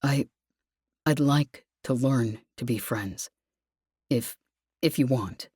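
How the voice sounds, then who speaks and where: A woman voicing a gentle, apologetic man, soft-spoken and sincere, in an audiobook story. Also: rumbling low, breathy edge, dry recording, clean studio sound, voice pushed down, full character voice. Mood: vulnerable, earnest. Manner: halting, soft-spoken, rueful.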